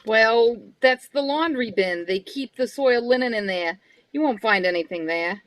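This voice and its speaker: A woman's voice: a little high, moderate pace, a bit bright, lightly acted. A woman playing a reluctant, plain-talking male elevator operator in a live reading of a radio mystery script. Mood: dismissive.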